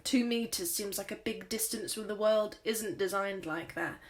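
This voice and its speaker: A woman's voice: mid-range voice, normal speed, close to an everyday voice. A woman playing a frustrated person longing for freedom. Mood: wistful, frustrated. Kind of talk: scripted diary monologue.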